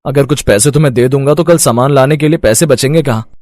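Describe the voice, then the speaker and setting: An actor playing a weary, henpecked village food vendor in a story cartoon, expressive and given to grumbling and pleading. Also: male voice, medium pitch, fast, dry recording, studio quality, close to an everyday voice. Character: weary, henpecked village chicken-lollipop street vendor husband. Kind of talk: animated moral-story voice acting.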